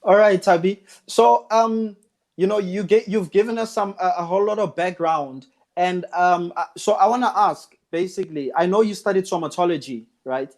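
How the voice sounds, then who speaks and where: Male host with a slightly high voice, asking questions casually and with some hesitation. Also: normal speed, a little mellow. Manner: casual, hesitant, many fillers. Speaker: interview host. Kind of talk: conversational interviewing.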